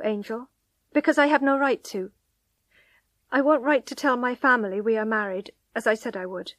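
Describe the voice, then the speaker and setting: Storybook heroine, a young wife pleading with her husband, high and drawn-out, read by a woman. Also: dry recording, lightly acted.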